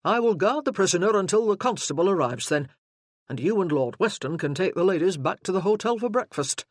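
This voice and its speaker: A narrator voicing a burly, stern former army sergeant who barks orders at a prisoner. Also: male voice, sits high, animated, brisk, dry recording, studio quality, voice pushed up. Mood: commanding. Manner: barking, commanding.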